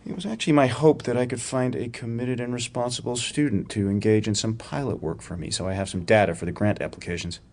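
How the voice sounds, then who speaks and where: An actor playing a wry, probing academic research professor in a radio drama, with a dryly sardonic, precise way of talking. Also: male voice, medium pitch, steady tone, fast talker, few pauses, darkish tone, lightly acted. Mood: serious, exacting. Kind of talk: radio theatre acting, dramatic dialogue.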